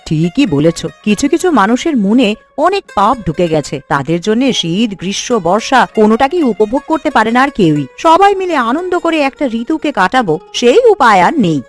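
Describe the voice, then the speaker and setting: A woman playing an elderly village wife in a cartoon, chiding yet fond, with expressive delivery. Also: on the high side, moderate pace, faint music behind. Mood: reflective. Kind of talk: cartoon dialogue.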